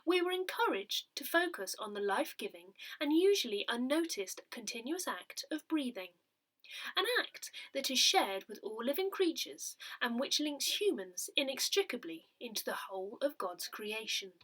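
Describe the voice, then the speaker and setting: Higher-pitched woman, very lively reading. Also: moderate pace, low-quality recording. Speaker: newsletter reader. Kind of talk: scripted read-aloud of a parish newsletter.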